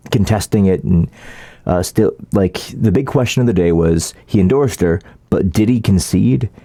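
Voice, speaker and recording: A man giving casual, opinionated political commentary as a vlogger, in an informal, halting, rambling way. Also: low voice, gravelly, mellow, no echo, clean studio sound.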